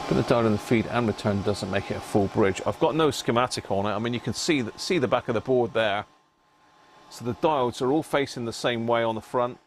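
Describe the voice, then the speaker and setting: A man hosting a live repair stream, chatting casually to viewers and thinking aloud in short, halting phrases. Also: mid-range voice, a little hiss or hum.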